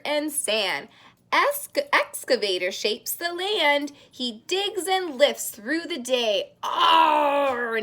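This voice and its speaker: Slow, high, animated woman reading a storybook. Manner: expressive, sing-song, with drawn-out words, gasps and hushes. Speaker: daycare teacher reading a picture book to young children. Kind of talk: animated read-aloud of a children's storybook.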